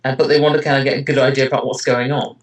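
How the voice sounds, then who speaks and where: A man's voice: medium pitch, flat delivery, very fast. A man answering interview questions in casual chat, rambling hesitantly with lots of filler phrases. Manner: hesitant, rambling delivery with frequent "you know" and "kind of". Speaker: interviewee, amateur voice actor and web parody creator.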